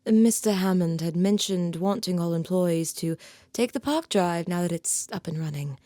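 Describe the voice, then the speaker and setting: Actress for female executive in radio play: softly dark tone, clipped, polite, guarded. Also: lowish voice, moderate pace, no echo, clean studio sound, lightly acted. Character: guarded, strained professional woman executive.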